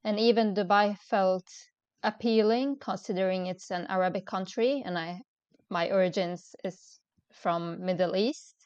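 A young woman from Sweden answers podcast questions slowly, pausing with lots of ums and restarts. Her voice is mid-pitched and smooth. The call audio is dry and a little dull. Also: a little mellow, no room sound. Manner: hesitant, many ums and restarts. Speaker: podcast guest. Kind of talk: casual interview chat. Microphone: remote call.

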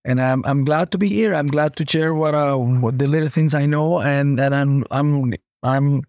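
Halting middle-aged man from Honduras. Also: on the high side, darkish tone, no room sound, clean studio sound. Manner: halting, repeats words and restarts. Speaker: international student recruitment manager. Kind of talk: interview guest, conversational.

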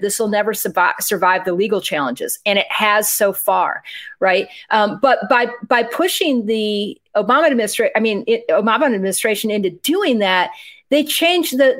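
A woman's voice: medium pitch, a bit bright. A woman hosting a political discussion, talking in an indignant, questioning way.

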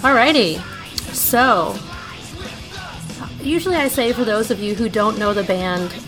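A woman's voice: mid-range voice, measured pace, raised voice, over loud music, rough recording. Brief: middle-aged woman, casual podcast co-host, chatty and loose, filler-heavy delivery. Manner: chatty, laughing asides, frequent ums. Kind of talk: casual podcast chat and band history.

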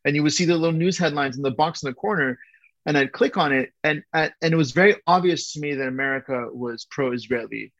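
A man's voice: a little high, brisk, fairly bright tone, no room sound, muffled recording. Man thinking aloud in loose chat. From born in Iran, raised in Southern California. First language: Persian. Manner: thinking aloud, frequent restarts and repeated words. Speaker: historian guest. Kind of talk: casual interview talk.

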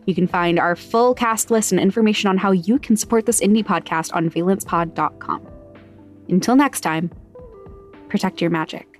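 A woman's voice: mid-range voice, moderate pace, faint music behind. A woman reading scripted podcast credits and promos as an announcer.

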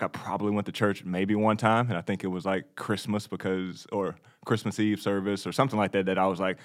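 Low-voiced American man telling his story. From Texas, United States. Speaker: podcast co-host. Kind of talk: casual personal storytelling.

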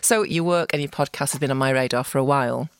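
A woman with a Manchester accent hosting a podcast interview, chatty and quick to laugh. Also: rumbling low, clean tone, dry recording, studio quality. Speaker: podcast host.

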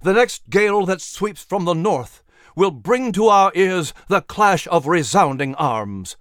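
A man voicing an impassioned patriot orator who rouses a hall, rising to shouts. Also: high voice, animated, measured pace, studio quality, pitched above the performer's own voice. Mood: rousing.

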